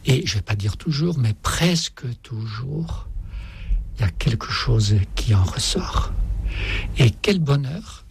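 A man with a Dutch or Flemish accent speaking French, a priest answering reflectively in an interview with deliberate phrasing and repetitions for emphasis. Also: medium pitch, expressive, creaky, slight background noise. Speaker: Jesuit priest, author, interview guest.

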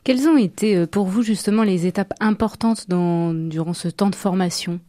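A woman interviews a guest on the radio, asking calm follow-up questions. Her voice is mellow and warm, recorded dry and close. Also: mid-range voice, normal speed, no room sound.

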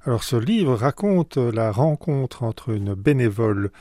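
A man's voice: mid-range voice, expressive, measured pace, warm tone, no room sound. A man from Belgium, an author answering radio interview questions, hesitant with many fillers and restarts.

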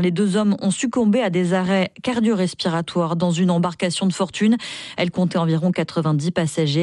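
A woman presents a radio news bulletin from a script. Her voice is soft-edged and warm, at a middle pitch. The sound is close and dry, with hardly any room in it. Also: dry recording.

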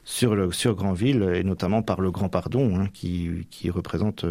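A middle-aged man from Normandy giving reflective, halting interview answers, often tagging the ends of his phrases. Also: sits low, darkish tone, no room sound. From Manche, Normandy, France. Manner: hesitant, halting, frequent tags at phrase ends.

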